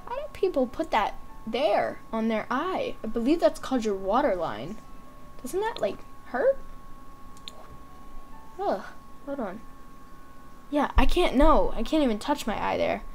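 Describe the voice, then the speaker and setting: A woman with a high voice giving casual, chatty commentary as a gaming video host, thinking aloud in short offhand remarks. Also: very animated, a little slow, pauses often, soft background music, low-quality recording.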